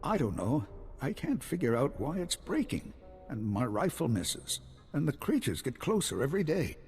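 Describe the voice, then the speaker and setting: An actor playing an anxious, proud old hermit farmer and former guard in a video game, hesitant and halting. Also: male voice, mid-range voice, very animated, darkish tone. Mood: ashamed, anxious. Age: older. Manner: hesitant, halting, repeating himself. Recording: long hall reverb, music behind.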